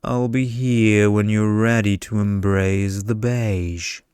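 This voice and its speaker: A man voicing a boring, pompous energy vampire from a romantic bedtime story, in a deadpan monotone. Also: lowish voice, slow pace, smooth, no echo, clean studio sound. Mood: flat, unreadable.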